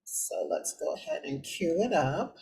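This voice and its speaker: A woman hosting a talk show with casual commentary, often halting as she thinks aloud. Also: low voice, huge pitch swings, a little mellow. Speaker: show host. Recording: clear background noise.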